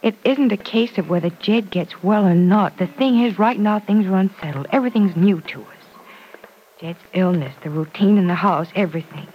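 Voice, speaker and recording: An actress playing a level-headed young woman who gently teases, in 1940s radio acting style. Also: mid-range voice, normal speed, slight background noise, natural voice. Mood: reasoning. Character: sensible young woman in love. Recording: dull old radio broadcast.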